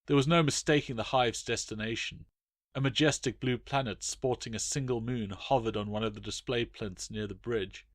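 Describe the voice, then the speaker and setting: A man's voice: medium pitch, fairly even intonation, moderate pace, dry recording, clean studio sound. A man reading his own short story aloud as a dramatic, scripted narration.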